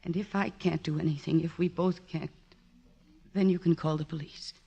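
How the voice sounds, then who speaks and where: A woman's voice: deep voice, steady tone, moderate pace, creaky, warm tone, slightly rough, muffled recording, exaggerated voice. An actress playing an earnest woman psychiatrist in love, emotional and insistent, in 1940s radio drama style. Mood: pleading.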